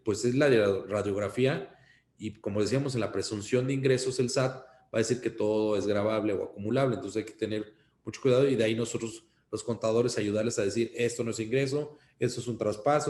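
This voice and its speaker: A man with a Mexican accent giving an informal instructional talk as an accountant, explaining things in a cautionary, halting way. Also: mid-range voice, darkish tone. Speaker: accountant giving tax advice. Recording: roomy, echoing sound.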